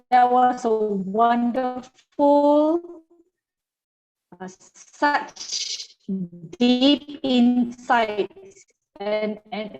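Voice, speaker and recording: A woman's voice: on the high side, darkish tone. A woman from Malaysia moderating a webinar, hesitant, with many fillers and restarts. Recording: dull lo-fi call audio.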